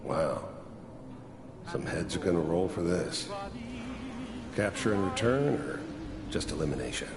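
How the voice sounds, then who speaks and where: Very deep gravelly cynical mercenary. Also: male voice, lively intonation. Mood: dry, irritated. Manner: slow-burning, wry, world-weary confiding narration. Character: cynical hardened middle-aged male mercenary, brooding and cocky. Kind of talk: video game character monologue. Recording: echoey hall reverb with background noise.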